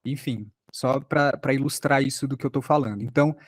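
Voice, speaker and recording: A man's voice: mid-range voice, fairly quick, no echo. A man with a Brazilian accent giving an informal online lecture as a workshop instructor, talking conversationally and with some self-deprecation about his own experience.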